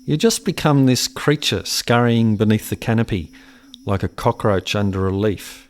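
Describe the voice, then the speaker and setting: Low-voiced, brooding settler man played by an actor. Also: lively intonation, normal speed, close to an everyday voice. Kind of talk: literary radio play monologue.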